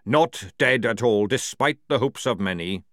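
A man voicing a fierce, loyal old male army sergeant who barks his words in a forceful parade-ground manner. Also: lowish voice, animated, a little slow, dry recording, studio quality. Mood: roaring, defiant.

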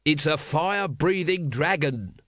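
A man's voice: fairly high pitch, expressive, moderate pace, fairly bright tone, no echo, studio quality. A man playing a gloomy, exasperated city watch captain in an audiobook, indignant and blustering. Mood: exasperated shouting.

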